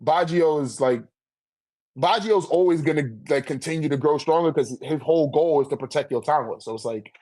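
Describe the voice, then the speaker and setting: Male, slightly raised pitch. Informal fan debater, heavy slang and swearing, restates points to press them. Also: fairly quick, darkish tone. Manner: slangy, profane, repeats himself for emphasis. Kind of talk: casual chat, fan debate.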